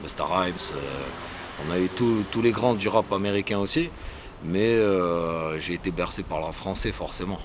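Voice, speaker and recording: A middle-aged man, a rapper, chatting casually in an interview with relaxed slang and many fillers, outdoors in a city. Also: deep voice, normal speed. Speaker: rapper guest. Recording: outdoor street noise, traffic.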